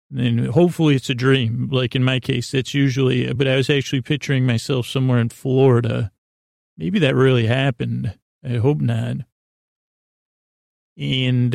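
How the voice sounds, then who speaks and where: A man hosting a bedtime sleep podcast, rambling in a halting, stammering way and often trailing off mid-thought. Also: mid-range voice, a little mellow, no room sound, studio quality. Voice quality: gravelly, creaky. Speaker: podcast host.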